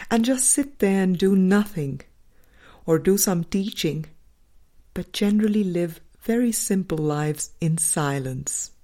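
A woman reading a spiritual audiobook as a scripted narration, with measured, deliberate phrasing and pauses. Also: on the low side, dark tone. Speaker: audiobook reader.

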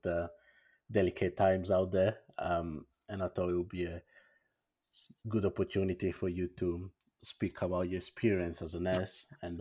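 A man's voice: sits low, warm tone, dull audio. A man hosting a casual interview, phrasing questions hesitantly and often repeating short acknowledgements. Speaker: interview show host.

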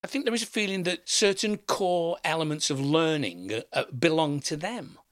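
A man speaking in an animated, indignant way in an interview. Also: on the high side, normal speed, dry recording, clean studio sound.

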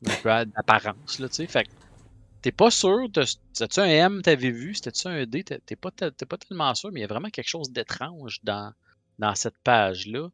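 A man's voice: medium pitch, very animated, fairly quick, a bit bright, no room sound. A man with a Quebec French accent, narrating as game master in a casual voice call, chatty, with many fillers.

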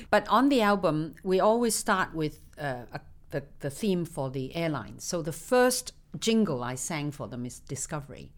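Older woman with a low voice. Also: sing-song, measured pace. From Hong Kong. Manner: anecdotal, laughs between stories. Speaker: singer interviewed as guest. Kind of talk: reminiscing interview.

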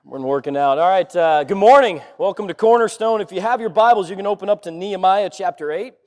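A man preaching a sermon, slightly hoarse from a cold, talking in a conversational way with many fillers and restarts. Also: high voice, fast talker, darkish tone. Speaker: pastor.

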